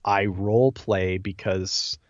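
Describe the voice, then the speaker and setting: Lowish-voiced man, dull dry recording. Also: flat delivery, slow pace, dry recording. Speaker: podcast co-host. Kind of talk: casual chat about an online game.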